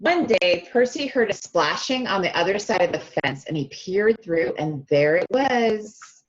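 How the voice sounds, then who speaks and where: A woman reading a picture book aloud to children, expressive and stretching key words, often stopping to ask them questions directly, on a slightly dull lo-fi recording. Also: mid-range voice, measured pace. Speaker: speech-language pathologist reading to children. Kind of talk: animated children's read-aloud with questions to listeners.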